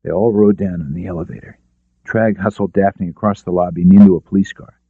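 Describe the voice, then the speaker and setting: A man's voice: sits low, fairly even intonation, fairly quick, dry recording, phone-quality sound. A man reading scripted narration of a crime novel as an audiobook narrator.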